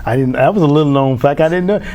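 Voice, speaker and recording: Chatty enthusiastic community TV host. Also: male voice, fairly high pitch, fast, warm tone. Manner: enthusiastic, chatty, many restarts. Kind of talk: community TV interview.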